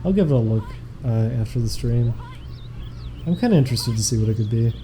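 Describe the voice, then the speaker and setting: Mellow-voiced young man streaming casually. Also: mid-range voice, fairly quick, a little hiss or hum. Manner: relaxed, meandering, reads messages aloud. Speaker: streamer reading donations.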